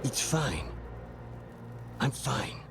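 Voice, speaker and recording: An actor playing a weary, guarded assassin in a fantasy game, stoic and understated. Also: male voice, medium pitch, big pitch swings, drawn-out words, vocal fry, music in the background, heavily acted.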